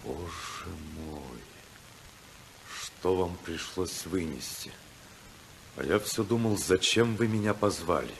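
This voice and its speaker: An actor playing an earnest, idealistic, wronged politician with dramatic delivery in a hissy 1950s radio drama. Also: male voice, low-pitched, slow, long pauses. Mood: dismayed, despairing. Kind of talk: 1950s Russian radio play acting.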